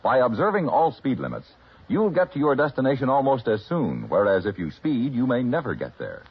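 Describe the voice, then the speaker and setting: Male announcer, American, very gravelly, lively swooping intonation, formal, instructive, dull old radio recording. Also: mid-range voice, brisk. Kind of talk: vintage radio public service announcement.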